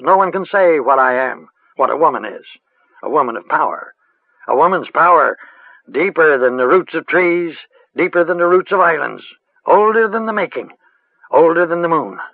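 High old crone's voice from a male reader, rustic dialect, lively lilting rise and fall, tinny phone-like sound. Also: dry recording. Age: older. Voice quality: rustic dialect, sing-song and chanting. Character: old female village witch, rustic and earthy. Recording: tinny phone-like line, dry.

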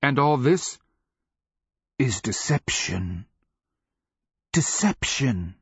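A man voicing a fervent old slave in a fantasy audiobook, with a thick rasp and barked, doom-laden pronouncements. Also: mid-range voice, big pitch swings, no echo, muffled recording, voice pushed up, exaggerated voice. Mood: ominous, grave. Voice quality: rasping, thick voice. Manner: barking, drawn-out pronouncements. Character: fervent old male slave, zealous doomsaying fanatic. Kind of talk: fantasy audiobook character acting.

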